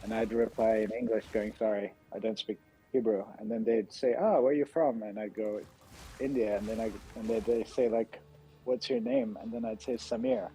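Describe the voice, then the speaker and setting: A man with a slight American accent talks in a relaxed, rambling way as he hosts a livestream from home. His voice is mellow and a little dark. Faint music plays in the background. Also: on the low side, normal speed, low-quality recording. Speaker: livestream host. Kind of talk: casual chat. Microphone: computer microphone.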